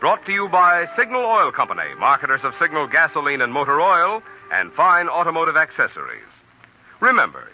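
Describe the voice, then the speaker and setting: A man's voice: medium pitch, sharp tone. A man giving scripted announcements in 1940s radio style, formal and emphatic, with careful phrasing. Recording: dull old radio recording. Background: music.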